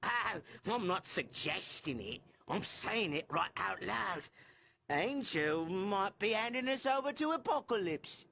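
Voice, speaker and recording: An actor playing a suspicious, cowardly little mutant in a video game, wheedling and creaky. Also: male voice, high-pitched, sing-song, unhurried, pushed and bright, a little hiss or hum, full character voice. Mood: suspicious, needling. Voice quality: creaky, gravelly.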